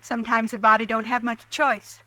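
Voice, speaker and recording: An actress playing a weary, guarded homesteader wife in an old radio drama, answering in short, curt lines. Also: female voice, on the high side, fairly quick, no room sound. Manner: curt, flat, guarded replies. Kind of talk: 1950s radio drama acting.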